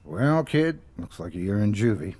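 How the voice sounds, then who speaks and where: An actor playing a stern, matter-of-fact, authoritative police officer in a horror audio drama. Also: male voice, fairly low pitch, very animated, unhurried, a little mellow, no room sound. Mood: firm.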